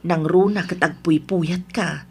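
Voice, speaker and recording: A woman acting a worried, caring elderly wife and mother with a husky voice in a radio drama. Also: on the low side, steady tone, a little slow, exaggerated voice. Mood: gently worried.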